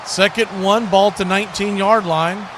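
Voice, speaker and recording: Male play-by-play football announcer, American, fairly high voice, clipped phrasing, clear background noise on a live stream. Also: steady tone, moderate pace. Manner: narrates action in short clipped phrases, repeating words. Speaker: play-by-play sports commentator.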